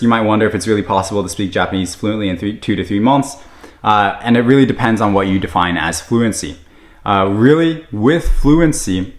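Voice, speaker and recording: A slightly low-voiced man talks through a course introduction in a loose, rambling way. He keeps stopping to correct himself and leans on "uh"s. The room around him sounds echoey. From United States. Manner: rambling, frequent "uh" fillers and self-corrections. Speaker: language teacher.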